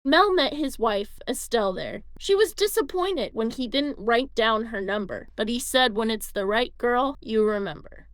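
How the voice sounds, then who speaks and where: A woman's voice: on the high side, lively intonation, normal speed, few pauses, dry recording. A woman reading scripted documentary narration as the narrator.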